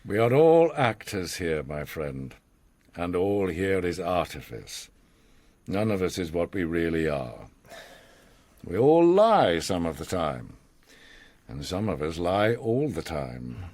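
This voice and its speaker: An actor playing an older, worldly and enigmatic mastermind in a radio drama, speaking in a theatrical, measured, formal and philosophical way with a husky voice. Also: male voice, low-pitched, big pitch swings, darkish tone, no echo, exaggerated voice. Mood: cryptic, lofty. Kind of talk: radio drama acting, storytelling monologue.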